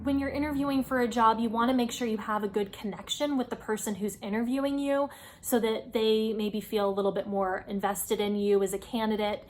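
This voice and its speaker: Woman, higher-pitched voice, advice host, informal instructional talk, encouraging and explanatory. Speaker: host giving career advice.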